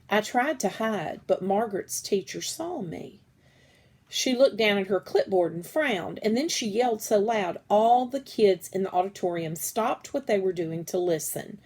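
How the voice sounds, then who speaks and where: Woman doing an expressive read aloud of a kids book, mid pitched voice.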